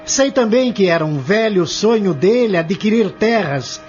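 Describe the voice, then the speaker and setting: A man's voice: high voice, expressive, measured pace, darkish tone. A man playing a formal, confident, accusing prosecutor in an audio drama, speaking emphatically. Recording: muffled lo-fi audio with music.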